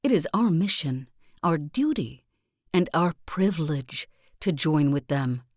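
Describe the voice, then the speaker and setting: A low-voiced female sect leader for a strategy game. She delivers her lines slowly and solemnly, like a preacher who is wholly sure of her creed. The sound is dry and close. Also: lively intonation, a little mellow, dry recording. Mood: solemn, inspiring. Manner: solemn, deliberate, sermon-like oratory. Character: zealous self-help guru and sect leader, preaching with calm conviction. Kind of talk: scripted video game character monologue.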